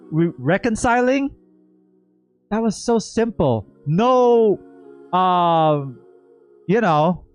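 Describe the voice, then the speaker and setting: A man giving a casual, expressive livestream reaction to a game, often repeating words for emphasis. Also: on the high side. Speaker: gaming streamer.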